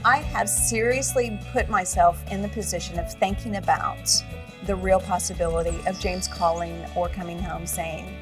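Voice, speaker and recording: A woman's voice: fairly low pitch, steady tone, normal speed. A woman in an interview speaking openly and heartfelt about her home life. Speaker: interviewee, rider's wife. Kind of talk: interview testimony. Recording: distant mic with music bed.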